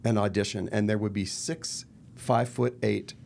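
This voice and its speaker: A man's voice: fairly low pitch, moderate pace, creaky, a little hiss or hum. A middle-aged man talking animatedly as a guest in a podcast studio, speaking into a desk mic. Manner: animated, gestures a lot while talking. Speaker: guest, murder mystery company founder. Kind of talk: casual podcast interview. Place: purple-lit podcast studio. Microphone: desk mic on boom arm.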